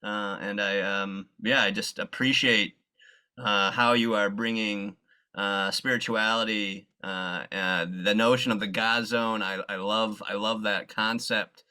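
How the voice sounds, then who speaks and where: A man hosting an interview, speaking earnestly and haltingly with many restarts as he praises and questions his guest. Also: lowish voice, fairly even intonation, sharp tone, clean tone. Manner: hesitant, full of fillers and restarts, earnest. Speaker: interviewer, facilitator.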